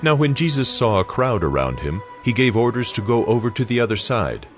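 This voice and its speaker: A man's voice: medium pitch, expressive, brisk, over music. A man narrating scripture from a script in an audio drama.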